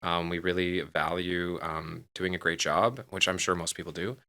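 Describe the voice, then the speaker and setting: A man's voice: low-pitched, steady tone, moderate pace, pushed and bright. A man in his forties speaking informally and emphatically in a conversational interview, as a real estate team leader who is a guest. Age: 40s.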